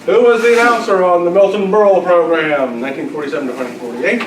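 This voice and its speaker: An older man with a high voice runs a quiz, calling out questions and answers. He is picked up from a distance in an echoey room, with people chattering around him. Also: fast talker, noisy background. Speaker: quiz master. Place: large room at a club luncheon.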